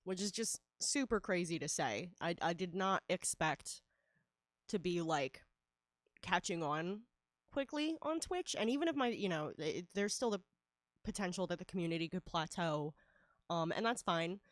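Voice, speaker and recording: Female artist streamer, congested and nasal from a cold, lowish voice, dry mic, chatty and casual. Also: lively intonation, normal speed, dry recording. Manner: chatty, laughs often, many fillers. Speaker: livestreaming artist. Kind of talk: casual streaming chat while drawing.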